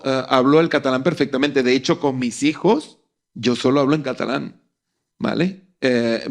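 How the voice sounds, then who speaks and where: A man's voice: mid-range voice, vocal fry. A middle-aged man with a Venezuelan accent giving his opinion conversationally, repeating phrases for emphasis.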